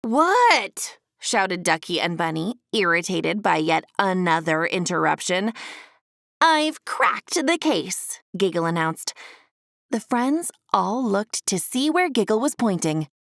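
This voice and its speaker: A woman reading a scripted children's storybook as an expressive narrator. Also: on the low side, unhurried, gravelly, no room sound, clean studio sound.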